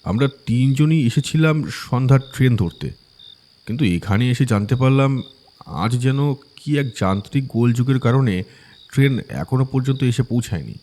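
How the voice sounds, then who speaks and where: A man's voice: medium pitch, expressive, normal speed, mellow, a little hiss or hum, natural voice. An actor playing a polite, puzzled male traveller in a horror audio story, explaining and asking in a courteous way. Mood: polite, composed.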